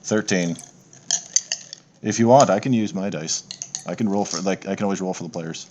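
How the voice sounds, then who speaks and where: A man narrating a tabletop horror game as game master, improvising in a casual, halting, descriptive way. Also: low voice. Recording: noisy home mic on online game.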